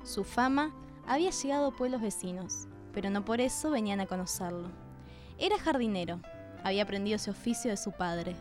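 A woman's voice: medium pitch, animated, a little fast. An Argentine woman reading scripted story narration for a radio drama. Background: music behind the voice.

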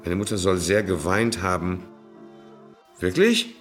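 An actor playing a village-born son who recounts his family's past in a deliberate, fragmented radio play style. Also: low-pitched. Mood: reflective. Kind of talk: fragmented, choral German radio play delivery. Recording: prominent music under the voice.

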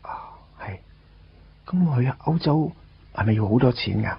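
An actor playing a shy, earnest teenage schoolboy in love, narrating and acting in a Hong Kong Cantonese radio drama. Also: male voice, mid-range voice, animated, moderate pace, gravelly, a little hiss or hum. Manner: hesitant, tongue-tied, earnest. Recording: dull lo-fi radio drama audio. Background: guitar music.

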